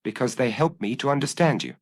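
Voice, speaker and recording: A man voicing a calm, patient police inspector who questions in a measured way, in an audiobook. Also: medium pitch, no echo, clean studio sound, pitched above the performer's own voice. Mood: probing, calm. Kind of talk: audiobook character dialogue.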